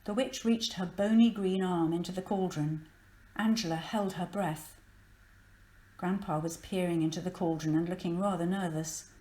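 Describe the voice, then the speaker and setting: A woman's voice: fairly low pitch, steady tone, normal speed, dark tone, echoey room. A woman narrating a children's story from a script, expressively and with dramatic emphasis. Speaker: storybook reader.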